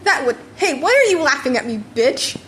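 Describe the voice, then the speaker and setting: A hot-tempered teenage boy from a storybook reading, performed by a woman. He snaps and grumbles rudely in a fairly high voice. There is light noise in the recording. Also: big pitch swings, moderate pace, a bit bright. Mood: flustered anger. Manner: snapping, sputtering, gruff. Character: brash, foul-mouthed, irritable teenage boy. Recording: noticeable background noise.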